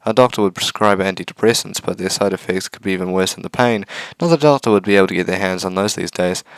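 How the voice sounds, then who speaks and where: A man's voice: deep voice, fast, no echo. A man hosting a gaming video, reading a visual novel aloud with casual asides and stumbling over words now and then.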